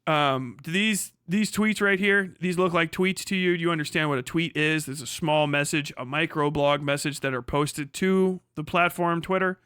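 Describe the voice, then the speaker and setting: A man doing a persistent deposition lawyer who works steadily through his questions. The voice is bright and pitched a little high, and the sound is dry. He presses each point in a flat, procedural way. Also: normal speed, few pauses, clear, resonant tone, no echo, close to an everyday voice. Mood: probing. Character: methodical male lawyer questioning a witness.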